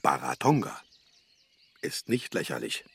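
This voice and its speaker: An actor playing a sly, greedy vagabond with grand pretensions, wheedling and pompous, in a children's radio play. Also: male voice, rumbling low, huge pitch swings, a little slow, creaky, husky, no room sound, full character voice. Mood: musing.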